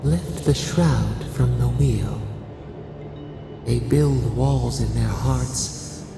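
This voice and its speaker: An ancient spirit whispering riddles inside someone's head in a fantasy game. The voice is heavily creaky and drags out each solemn fragment at a crawl. It hangs in a long, ghostly reverb. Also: mid-range voice, heavily acted. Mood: cryptic, brooding. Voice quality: creaky, gravelly. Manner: solemn, cryptic, halting fragments. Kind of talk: video game acting. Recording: heavy reverb under music. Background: music.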